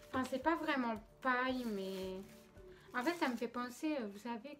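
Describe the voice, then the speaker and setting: A young woman with a slight cold in her throat, chatting casually and giggling as she unboxes makeup. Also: fairly high pitch. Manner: chatty, filler-heavy, giggly. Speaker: beauty vlogger.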